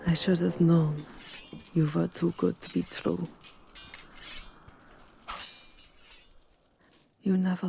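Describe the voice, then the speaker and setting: Woman acting a slow, imperious, possessive vampire countess. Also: fairly low pitch, gravelly, dark tone, a little hiss or hum. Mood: heartbroken resignation. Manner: slow, imperious, theatrical delivery. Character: powerful centuries-old female vampire countess, possessive, haughty, lovelorn. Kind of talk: dramatic ASMR roleplay acting.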